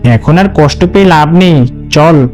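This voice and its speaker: A man's voice: a little high, a little slow, darkish tone, close to an everyday voice. A man playing a wary, sombre forest guard companion with a drawling delivery in a horror cartoon. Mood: sombre, resigned. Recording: faint music behind the voice.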